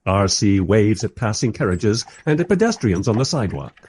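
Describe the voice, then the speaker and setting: An actor playing a mild, polite time-travelling interviewer who narrates his story wryly in a radio play, with a husky voice. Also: fairly low pitch, big pitch swings, moderate pace, darkish tone, slight background noise. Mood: polite, dry. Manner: wry, polite. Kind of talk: first-person radio play narration and dialogue.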